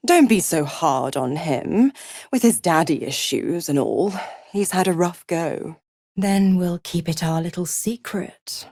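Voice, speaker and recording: A woman's voice: medium pitch, a little mellow, no room sound, studio quality. An actress playing a seductive, scheming female devil in a video game, with a teasing, sardonic, theatrical and condescending drawl. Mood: mock sympathy. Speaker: video game character actor.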